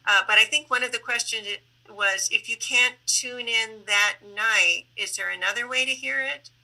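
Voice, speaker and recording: A woman hosting an online panel in a conversational, deliberate way, with some halting phrasing. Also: fairly high pitch, vocal fry, bright, forceful tone, rough recording. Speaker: host and moderator of a public online panel.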